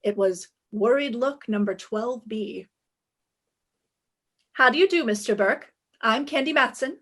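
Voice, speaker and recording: A woman acts out a self-assured female private eye from a fifties radio mystery. Her voice sits fairly high, and she tosses off her lines with breezy, flirtatious wit. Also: measured pace, fairly bright tone. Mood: brisk, playful. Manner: wisecracking, breezy. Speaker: lead actress and first-person narrator. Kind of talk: 1950s radio detective play acting.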